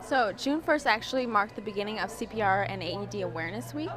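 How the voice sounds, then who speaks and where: Woman interviewee over loud music. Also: medium pitch, fast. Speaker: Red Cross volunteer member being interviewed. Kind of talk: live interview, explanatory.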